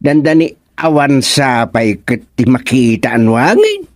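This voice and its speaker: Actor playing an excitable Ilocano village man, slow-paced. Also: mid-range voice, dry recording, clean studio sound. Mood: chatty. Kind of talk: Ilocano radio comedy drama acting.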